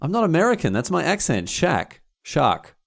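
A man's voice: a little high, huge pitch swings, normal speed, vocal fry. An Australian man hosting a podcast, telling stories in casual comic chat and repeating phrases for emphasis. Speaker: podcast host.

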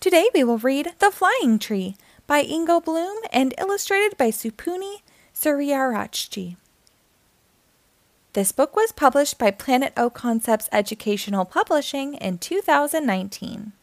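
A woman hosting storytime, reading a children's picture book aloud in an expressive, deliberate storytelling manner. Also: high voice, no echo. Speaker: storytime host and reader.

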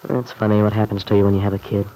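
A man's voice: lowish voice, little pitch movement, rapid-fire, warm tone, a little hiss or hum, lightly acted, low-quality recording. An actor playing a weary, polite, subdued man in an old-time radio drama, speaking hesitantly. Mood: weary, resigned. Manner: hesitant, downbeat.

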